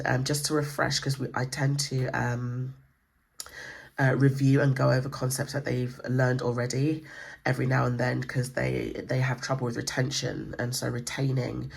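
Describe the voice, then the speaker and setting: A woman with a croaky voice from a cold, chatting casually through a book review, with lots of ums. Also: very deep voice, measured pace. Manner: chatty, many ums, relaxed explaining. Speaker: homeschooling channel host. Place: indoors at a table.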